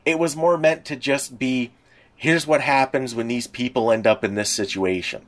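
A man's voice: medium pitch, fairly even intonation, normal speed, fairly bright tone. A man co-hosting a podcast, chatting casually and in a rambling way. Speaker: podcast co-host. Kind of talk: casual chat about pop culture.

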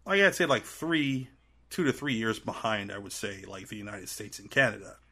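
A man in his thirties with a fairly low, bright voice, from Trinidad and Tobago. He talks in a casual interview style as a guest, feeling his way through sentences with frequent restarts. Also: moderate pace, breathy edge. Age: 30s. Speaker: podcast guest.

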